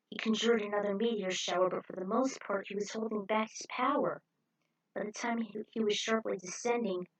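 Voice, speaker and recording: A woman's voice: a little high, rough recording. Woman reading an adventure story aloud. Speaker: narrator reading own fan fiction. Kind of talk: scripted story narration with character voices.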